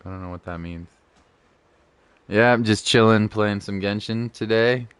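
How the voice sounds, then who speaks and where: A man's voice: lowish voice, a little slow, fairly bright tone, smooth, no room sound. A man giving casual live game commentary as a streamer, with a slangy, joking, laid-back way of talking.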